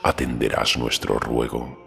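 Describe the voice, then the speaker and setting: A man voicing a solemn ancient eagle-headed sage in a storybook, with a deep, full voice, speaking quietly and gravely. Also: little pitch movement, heavily acted. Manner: slow, quiet, grave and formal. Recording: long hall reverb, music behind.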